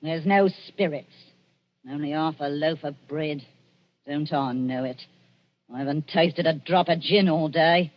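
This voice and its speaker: An actress playing a cruel-tongued old working-class English crone, crooning and scolding in 1940s radio drama style. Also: female voice, low-pitched, unhurried. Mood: scolding, bitter. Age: older. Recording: muffled 1940s radio broadcast, loud music.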